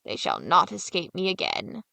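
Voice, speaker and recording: A woman's voice: fairly low pitch, wildly swooping pitch, moderate pace, gravelly, sharp tone, dry recording, exaggerated voice. A cruel old witch, put on by a woman reading aloud, barking orders and sneering at children in a storybook tale. Her voice is dry and close, with a mocking bite. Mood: mocking, commanding. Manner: mocking, commanding. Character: wicked old female witch, cruel, greedy.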